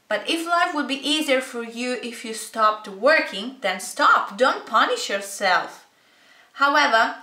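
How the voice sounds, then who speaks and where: Bright-voiced young woman reading dramatically. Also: a little high. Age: young adult. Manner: expressive, emphatic delivery. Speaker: video creator reading a letter aloud. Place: small bedroom, indoors. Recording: roomy bedroom echo.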